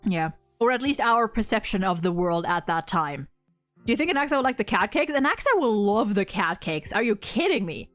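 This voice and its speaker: A young woman co-hosting a casual discussion, talking in an animated, opinionated way with frequent swearing. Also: mid-range voice, a little fast, faint music behind. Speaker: video host reviewing a game.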